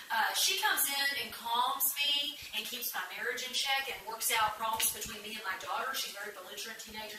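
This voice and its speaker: Casting: talkative, blunt Texan woman, 40s, casual and joking, recorded far from the mic with clear noise behind her. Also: a little high. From Texas, United States. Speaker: mother in a family audition video. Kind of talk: casual chat, leading questions to her companions. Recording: echoey room, distant mic, noisy background.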